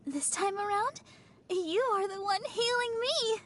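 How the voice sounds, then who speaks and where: A woman's voice: very high voice, measured pace, a little hiss or hum. A woman playing a sweet, earnest, perky teenage girl idol healer in an anime video game. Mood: cheerful, grateful.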